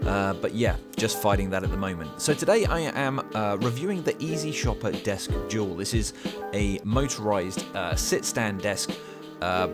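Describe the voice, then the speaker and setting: A man's voice: lowish voice, animated, normal speed, loud music behind the voice. A man with a cold, his voice a bit thicker than usual, hosting an easygoing product review at home and explaining the features step by step. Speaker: channel host reviewing a product. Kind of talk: casual product review to camera. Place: home dining room.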